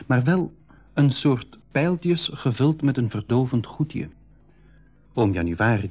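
A man narrating a school radio adventure play in a measured, explanatory way. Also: lowish voice, darkish tone, slightly rough. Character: composed male storyteller explaining an adventure to listeners. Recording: slightly dull 1980s radio recording.

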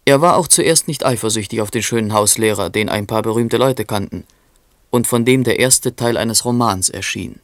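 A man's voice: fairly low pitch, normal speed, dry recording, natural voice. A man playing a dry, knowing chronicler in a literary radio play, narrating tersely with faint irony. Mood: sober, ironic. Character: dry, knowing male chronicler of a poet's life.

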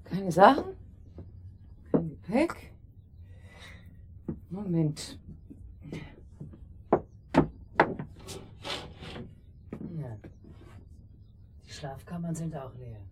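An actress playing an emphatic, bewildered holiday-house caretaker in a naturalistic radio drama. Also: female voice, sits low, sing-song, extremely slow, long pauses, a little mellow, slight background noise, heavily acted. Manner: emphatic, puzzled.

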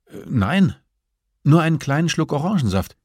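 A man's voice: fairly high pitch, sing-song, moderate pace, a little mellow, no room sound, studio quality, pitched above the performer's own voice. A man voicing an anxious female police investigator in an audiobook, flustered under pressure, hesitant and agitated as they grope for details. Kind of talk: audiobook dialogue acting.

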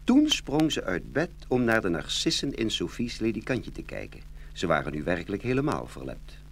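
Very animated, husky male storyteller. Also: on the low side, normal speed. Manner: dramatic, expressive storytelling. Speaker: storyteller, narrator. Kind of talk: scripted children's fairy-tale narration.

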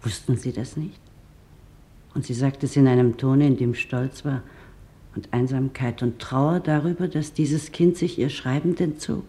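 A loyal office helper with a dark, mellow voice recalls events in a radio drama. Her delivery is gentle and deliberate. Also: very deep voice, steady tone. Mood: reflective, wistful. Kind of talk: documentary radio drama testimony.

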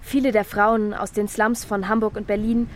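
An actress playing a presentational, mock-sincere travel presenter in a satirical stage revue. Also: female voice, mid-range voice, flat delivery, normal speed, a little hiss or hum, natural voice.

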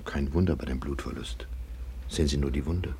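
A deep-voiced, sober nobleman. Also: brisk, vocal fry, dark tone, slight background noise. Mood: clinical. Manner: sober, matter-of-fact. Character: sober, clinical nobleman examining a wound. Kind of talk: radio play acting.

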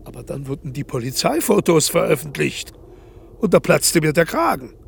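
A man's voice: fairly high pitch, big pitch swings, moderate pace, gravelly, a little hiss or hum, heavily acted. An actor playing an eccentric aging male dictator in a radio play, grandiose and self-justifying, dropping from outrage to whispers. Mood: indignant. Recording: music bed under the voice.

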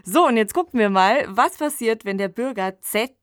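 A woman's voice: a little high, animated, normal speed, no room sound, clean studio sound. A woman co-hosting a podcast, explaining things in a conversational style. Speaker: podcast co-host.

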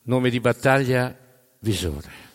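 A man narrating live in a theatrical storytelling style, with dramatic, emphatic phrasing that swings between loud and hushed. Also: medium pitch, expressive, takes it slowly. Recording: long hall reverb.

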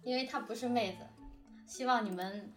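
Female host, higher-voiced, giggly and teasing, informal interview in a roomy space. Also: normal speed. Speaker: host interviewing production team. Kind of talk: casual interview chat. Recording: roomy, distant microphone, music behind. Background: background music.

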